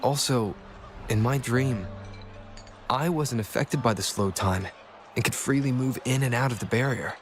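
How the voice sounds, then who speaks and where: A man plays a calm, thoughtful young hero in a game. He speaks in a measured, earnest way at a middling pitch. Faint game music sits underneath. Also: natural voice. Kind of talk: video game cutscene voice acting.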